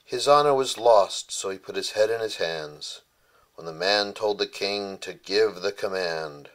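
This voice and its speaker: A man reads a children's storybook as its author. His voice sits on the low side. The delivery is rhymed bedtime storytelling. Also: unhurried, fairly bright tone, low-quality recording. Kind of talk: rhyming bedtime storybook narration.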